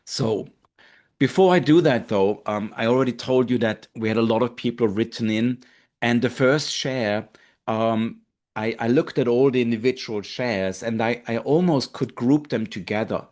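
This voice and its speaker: A man in his fifties from Austria, with an Austrian German accent, hosting a live online webinar in an earnest, gentle way with fillers and pauses. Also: medium pitch. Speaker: webinar host, marketing director.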